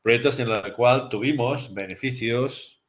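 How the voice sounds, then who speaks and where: A man's voice: mid-range voice, measured pace. A man giving an explanatory lecture over slides, repeating phrases for emphasis and posing rhetorical questions. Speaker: lecturer presenting a business case to master's students. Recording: dull, lo-fi sound.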